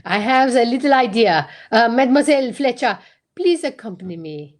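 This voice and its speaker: A woman voicing a shrewd male detective in an amateur radio drama, formal and halting. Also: on the high side, expressive, natural voice. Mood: decisive. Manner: formal, deliberate, halting, peppered with French phrases.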